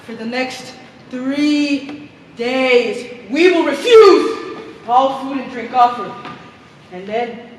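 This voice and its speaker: A woman playing a militant female plotter in a stage play, declaiming with dramatic pauses. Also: high voice, expressive. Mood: fervent, rousing. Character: fervent, militant female plotter proposing grisly plans. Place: theater stage. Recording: distant, echoey theater hall, faint noise.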